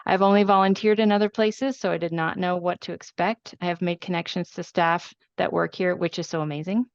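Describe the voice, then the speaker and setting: A woman's voice: lowish voice, steady tone, a little fast, gravelly, dry recording, muffled recording. A woman presenting in a webinar, earnest and friendly as she shares results.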